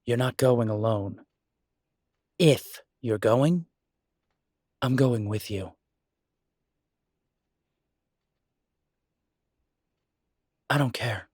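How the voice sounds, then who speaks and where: A protective werewolf detective played by a man in an intimate audio drama, with a mid-pitched voice in a very dry space. He is devoted and stubborn, speaking earnestly and insistently to his lover, with weighty pauses. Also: darkish tone, dry recording. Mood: fiercely determined. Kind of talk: dramatic roleplay audio acting, intimate one-sided dialogue.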